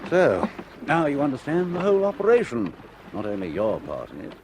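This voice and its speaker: A man's voice: mid-range voice, wildly swooping pitch, a little fast, darkish tone. An actor playing a smug middle-aged male swindler who talks down to his partner as "dear boy". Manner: patronizing, says "dear boy". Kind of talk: 1970s radio drama acting. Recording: radio play with water noise.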